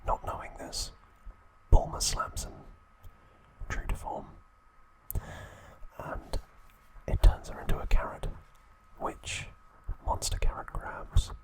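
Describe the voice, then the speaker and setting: A man's voice: slow pace, long pauses, low-quality recording. Whispering male ASMR narrator. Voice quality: unvoiced, breathy whisper. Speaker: ASMR host.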